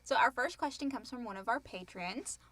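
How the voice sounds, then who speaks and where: A woman's voice: fairly high pitch, expressive, brisk, a bit bright, no room sound. A woman co-hosting a podcast, chatting casually and reading out listener questions. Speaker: podcast co-host.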